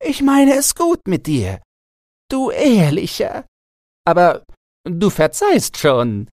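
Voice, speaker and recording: A man's voice: high-pitched, huge pitch swings, slow, a little mellow, no echo, clean studio sound, pitched above the performer's own voice, heavily acted. A man voicing a tiny, uncanny toy manikin in a small, thin voice, courtly, sly and condescending, in a storybook reading. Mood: smug, ingratiating.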